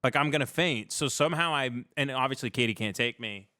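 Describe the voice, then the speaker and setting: A man's voice: medium pitch, fairly quick, sharp tone, dry recording, clean studio sound. A man hosting a livestream in a casual comedic chat, rambling through irreverent, profane stories. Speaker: livestream show host.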